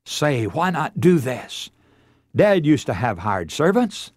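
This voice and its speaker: An American man delivers a radio sermon as a dramatic story. His voice sits a little high and swings widely in pitch, with an emotive, theatrical delivery. The sound is dry and close. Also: very animated, takes it slowly, no echo. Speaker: preacher.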